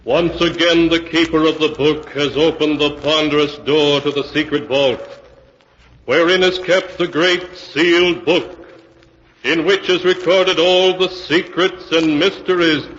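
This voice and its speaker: Man, dramatic old radio narration, solemn measured delivery, long reverb, muffled recording, music behind. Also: a little high, fairly even intonation, a bit bright. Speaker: announcer and narrator.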